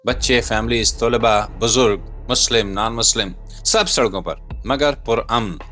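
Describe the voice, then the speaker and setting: A man's voice: lowish voice, a little slow, bright, forceful tone. A man delivering a scripted political commentary voice-over in a dramatic, rhetorical, emphatic manner. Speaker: video commentator and narrator. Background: music bed.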